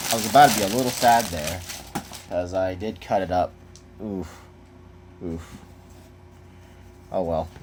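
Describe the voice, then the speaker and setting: Casting: young man, deep and unhurried, easygoing unboxing chatter, background hiss and crinkling. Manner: rambling, relaxed, many fillers. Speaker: card collector unboxing. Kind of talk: casual unboxing commentary. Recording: noisy home recording. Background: crinkling of packaging.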